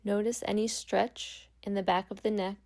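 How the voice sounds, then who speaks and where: A woman guiding a meditation as a yoga nidra instructor, speaking calmly and gently with long pauses. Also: medium pitch. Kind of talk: guided meditation.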